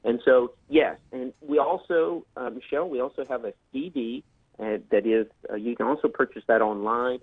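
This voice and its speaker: Guest on a call-in line, stop-start talker full of uhs, tinny dry phone sound. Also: male voice, mid-range voice, normal speed, breathy edge, no room sound. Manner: hesitant, many fillers and restarts. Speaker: guest on a political talk show. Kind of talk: casual promotional talk.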